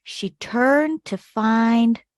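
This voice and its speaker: Higher-voiced woman reading a children's storybook. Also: drawn-out words, no echo, studio quality. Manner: storytelling, emphatic key words. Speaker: children's read-aloud host. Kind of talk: expressive picture-book reading with short asides to young listeners.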